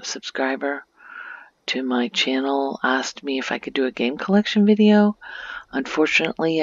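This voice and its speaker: A woman giving a soft-spoken ASMR game tour in a gentle, informal, hushed voice that often slips into a whisper, on a muffled home recording. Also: rumbling low, dry recording. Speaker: ASMR video creator and gamer.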